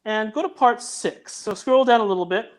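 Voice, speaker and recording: A man's voice: sits high, moderate pace. A man who produces audio dramas chats casually on a call, eagerly directing his host through clips. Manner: eager, directive, talks over others. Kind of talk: casual video-call chat.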